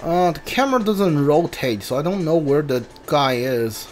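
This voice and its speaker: A game streamer giving casual commentary, thinking aloud haltingly and muttering through lists over game music. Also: medium pitch, lively intonation, darkish tone. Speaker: streamer playing a video game.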